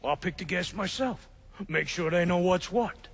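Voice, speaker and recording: An actor playing a crooked, fast-talking American mobster in a video game, crude, streetwise and defensive. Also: male voice, high-pitched, a bit bright, husky, full character voice. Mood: scheming, eager. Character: crooked, fast-talking mobster, scheming younger-sounding brother of a boss. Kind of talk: video game cutscene acting.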